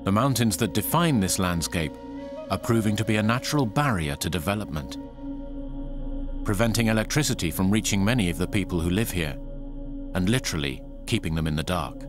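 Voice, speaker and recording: A man narrating a documentary in a measured, scripted delivery. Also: low-pitched, lively intonation. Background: music.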